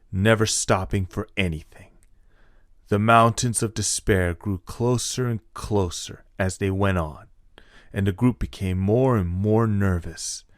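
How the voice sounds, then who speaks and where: Low-pitched male story narrator. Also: no room sound, studio quality.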